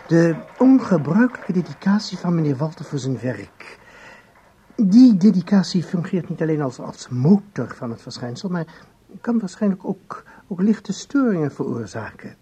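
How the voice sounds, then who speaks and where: An actor in a radio drama playing a pedantic male researcher, husky and haltingly academic. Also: fairly high pitch, very animated, dark tone, heavily acted. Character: pedantic male researcher lecturing on his theory.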